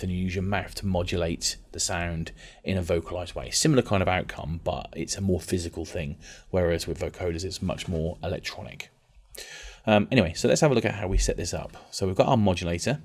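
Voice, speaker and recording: Deep-voiced man giving a tutorial. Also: darkish tone. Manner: explanatory, informal, rephrases and repeats key points. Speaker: music technology teacher. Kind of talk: informal software tutorial, explaining step by step.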